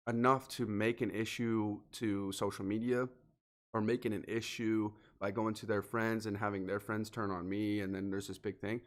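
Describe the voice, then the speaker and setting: A man hosting a solo motivational podcast, talking earnestly and directly to the listener, a little sniffly and congested from allergies. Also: fairly low pitch. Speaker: podcast host.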